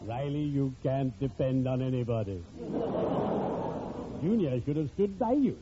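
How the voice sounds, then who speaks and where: An actor playing a genial, macabre undertaker in a 1940s radio comedy, speaking with pompous formality and drawn-out theatrical relish. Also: male voice, medium pitch, animated, natural voice. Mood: genial, ghoulish. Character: ghoulishly genial, pompous male undertaker, fond of puns. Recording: muffled, noisy vintage radio recording.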